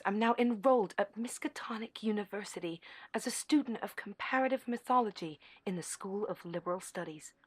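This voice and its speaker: A woman's voice: mid-range voice, lightly acted. A woman playing an eager, naive young university student confiding earnestly in an audio drama monologue. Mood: excited, proud. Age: young adult. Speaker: actor. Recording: clear background ambient noise.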